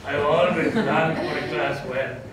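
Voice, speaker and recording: An older man in his sixties with a Tamil accent, a retiring theology professor giving a reflective, gently self-deprecating farewell talk in a seminar room. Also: a little high, a little slow, a loud crowd. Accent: Tamil-accented English. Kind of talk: informal farewell lecture with Q&A. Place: seminar room, indoors, medium-sized. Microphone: far camera. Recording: echoey room from far off, background noise.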